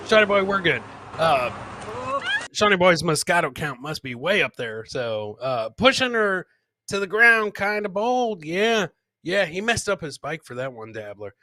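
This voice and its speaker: Male comedy show host, higher-pitched, informal and rambling, riffing on clips, dry close sound. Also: very animated, unhurried, bright, forceful tone, no echo. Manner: informal, rambling, joking asides. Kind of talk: casual live-show chat, commenting on clips.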